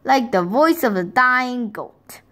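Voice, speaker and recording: A woman voicing a bunch of small, excitable chicks in a storybook read-aloud, squealing and excited. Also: a little high, takes it slowly.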